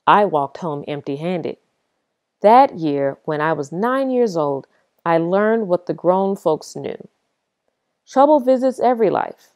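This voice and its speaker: A woman reading a children's picture book aloud as expressive storybook narration, with dramatic pauses. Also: mid-range voice, dry recording, clean studio sound.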